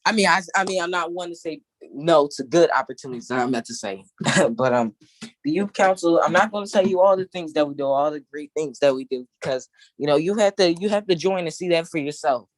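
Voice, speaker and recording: A young man, president of a youth council, speaking casually on a video call in an easygoing, halting way. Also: on the high side, expressive, dry recording, poor audio. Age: young adult. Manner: easygoing, halting, with many fillers and restarts.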